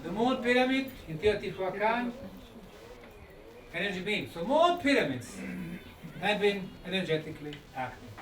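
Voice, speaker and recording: High-pitched man lecturing, echoey and distant. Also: big pitch swings, a crowd in the background. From Bosnia. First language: Bosnian. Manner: short, deliberate phrases with dramatic pauses and rhetorical questions. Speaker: lecturer and researcher. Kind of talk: conference lecture. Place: indoor lecture room at a conference. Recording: echoey room, distant mic, faint noise.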